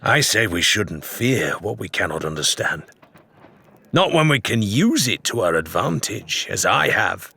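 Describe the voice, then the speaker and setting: A man's voice: fairly low pitch, sing-song, unhurried, vocal fry, bright, forceful tone, slightly rough, full character voice. A man voicing an ambitious pirate leader in an audiobook, persuasive and commanding, addressing followers like an orator. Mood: rousing.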